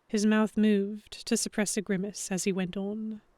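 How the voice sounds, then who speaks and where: A woman playing an earnest, self-conscious heroine, narrating a historical romance audio drama in the first person with measured, introspective delivery. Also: mid-range voice, little pitch movement, dark tone, no echo, close to an everyday voice. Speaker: narrator and character.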